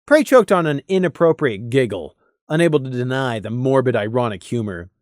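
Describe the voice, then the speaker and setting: A woman reading scripted story narration in an expressive, dramatic way, as an audiobook narrator. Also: very deep voice, brisk, no room sound, studio quality.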